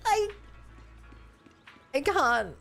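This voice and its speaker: A woman's voice: high-pitched, very animated, very slow, creaky, fairly bright tone, soft background music. A woman from New Zealand with a New Zealand accent, chatting casually and reacting as she livestreams a game.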